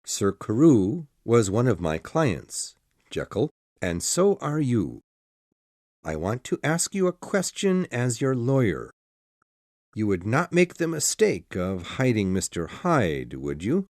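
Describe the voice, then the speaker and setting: A man playing a calm, businesslike lawyer who asks careful, formal questions. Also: medium pitch, takes it slowly, dry recording, studio quality. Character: calm, businesslike middle-aged male lawyer. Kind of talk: audiobook dialogue.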